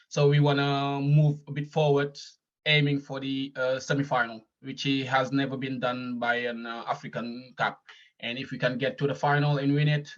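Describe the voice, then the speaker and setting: A man from Senegal, a fan interviewed over a call, speaking calmly with frequent hesitations. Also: medium pitch, highs cut off. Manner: hesitant, many ums, calm. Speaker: guest fan. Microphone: phone or video call.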